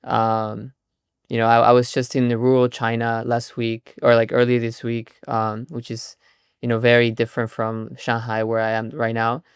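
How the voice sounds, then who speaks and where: A man in his thirties from Chile, a founder answering interview questions in a relaxed, chatty way. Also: medium pitch, normal speed, clear, resonant tone, no echo, clean studio sound. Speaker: guest, startup founder.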